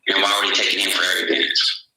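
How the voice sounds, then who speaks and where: A man with a very low voice and a sharp, bright edge recounts a search in halting, repetitive speech. A faint hiss sits under the played-back recording. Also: completely flat delivery, poor audio. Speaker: guest searcher. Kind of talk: casual call-in conversation.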